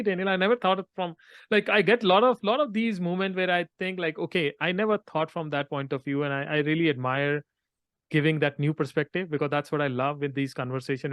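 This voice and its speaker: A man from India hosting an interview podcast, talking in an earnest, halting way and often repeating words. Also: on the high side, a bit bright, no room sound, studio quality. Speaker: podcast host.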